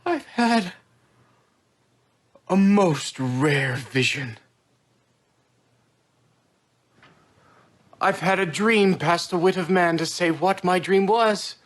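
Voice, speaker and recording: A man acting a bewildered, vain simple craftsman in a Shakespearean stage monologue, halting in broken phrases of wonder. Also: sits high, animated. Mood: dazed wonder.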